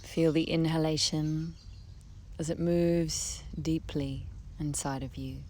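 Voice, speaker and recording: A woman leading a guided meditation in a soft, breathy voice, speaking gently with long unhurried pauses. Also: deep voice, fairly even intonation, slight background noise. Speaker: guided relaxation teacher. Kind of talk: guided meditation, soothing slow instruction. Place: outdoors beside a harbour. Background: birdsong, trickling stream, breeze.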